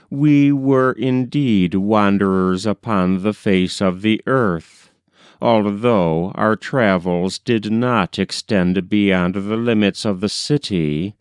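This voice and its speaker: A man reading a nineteenth-century novel aloud as an audiobook narrator, with measured, deliberate phrasing. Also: on the low side, darkish tone, clean tone, no echo, clean studio sound.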